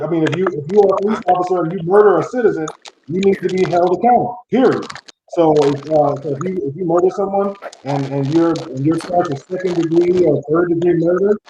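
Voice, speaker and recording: A man's voice: on the high side, warm tone, a little hiss or hum. A man on a video call panel, making his point firmly and with emphasis. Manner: firm, emphatic. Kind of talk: casual panel discussion. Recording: dull echoey room audio.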